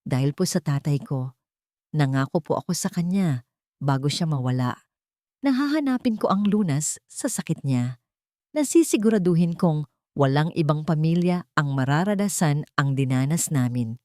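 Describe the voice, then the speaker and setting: Lady doing an earnest young resident doctor gal, respectful, excitable, pitch swings a lot, audio drama. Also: moderate pace, no room sound, clean studio sound. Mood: earnest, solemn. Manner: respectful, sincere. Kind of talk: audio drama character dialogue.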